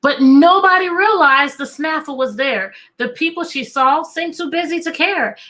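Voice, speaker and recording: High-pitched, bright-voiced woman reading to kids. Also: moderate pace, a little hiss or hum. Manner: animated, coaxing, repeats questions to draw answers. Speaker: teacher reading a picture book aloud. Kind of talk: interactive children's read-aloud.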